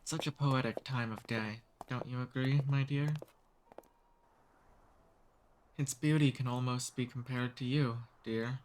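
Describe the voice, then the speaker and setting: Casting: male actor for an ancient noble vampire, mid-pitched male voice, charming and softly dominant, intimate ASMR roleplay. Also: fairly even intonation, a little hiss or hum, close to an everyday voice, low-quality recording. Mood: romantic, teasing. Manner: courtly, slow seductive teasing.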